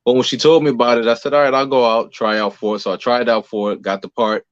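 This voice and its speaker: A man's voice: medium pitch, fairly even intonation, quick pace, dry recording, highs cut off. A man from New Jersey chatting casually as an interview guest, humble and easygoing. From Newark, New Jersey, United States. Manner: humble, easygoing, chuckles readily. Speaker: interview guest, singer and playwright.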